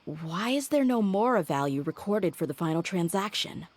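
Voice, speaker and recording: A woman voicing a low-voiced, steady mercenary. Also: normal speed, slight background noise, lightly acted. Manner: thoughtful, reasons things out aloud. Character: tough young female mercenary, steady and loyal. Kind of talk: game cutscene voice acting.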